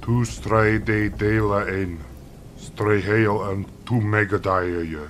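A huge, gruff seafarer, acted by a man, speaks slowly in a lowish voice. He is stern and disdainful, talking an invented fantasy tongue in an audio story with noise behind. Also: steady tone. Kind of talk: dramatized audiobook acting.

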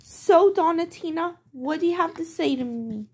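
A woman's voice: very high-pitched, animated, normal speed, a little mellow. A woman playing a strict mother who lays down rules in a firm, scolding way, in a homemade pretend-play skit.

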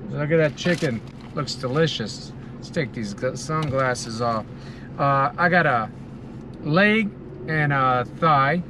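American male food reviewer, middle-aged, slightly high voice, casual and savoring; noisy car-cabin recording. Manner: talking between bites, savoring, enthusiastic. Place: inside a parked car. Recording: car cabin with clear ambient noise.

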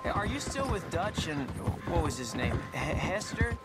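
A man's voice: on the high side, sing-song, normal speed. An actor playing a naive, earnest and insecure young American man in an Old West video game. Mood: curious, teasing. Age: young adult. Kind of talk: video game dramatic acting. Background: music, horse hooves.

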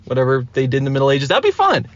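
A man's voice: mid-range voice, huge pitch swings, very fast, fairly bright tone, muffled recording. A man hosting a casual podcast chat, asking questions in a teasing, joking way. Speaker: podcast host.

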